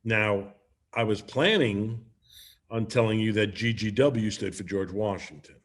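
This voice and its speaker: A man playing a sheepish, evasive, affectionate sitcom husband who stammers and hedges through a comedic script read. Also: lowish voice. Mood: awkward, dodging. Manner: stammering, halting, hedging. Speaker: sitcom actor at a cast reunion table read.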